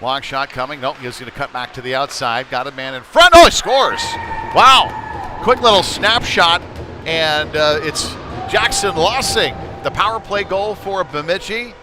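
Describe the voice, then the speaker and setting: A man's voice: a little high, a little slow. A man doing live play-by-play hockey commentary for a local stream, speaking in clipped running phrases as he follows the play. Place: indoor ice rink during a game. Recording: noisy live rink broadcast. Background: loud ambient arena noise.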